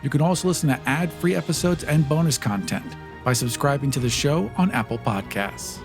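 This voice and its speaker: A man's voice: a little high, expressive, a little fast, music in the background. A man hosting a podcast, casually narrating as a tabletop roleplaying player.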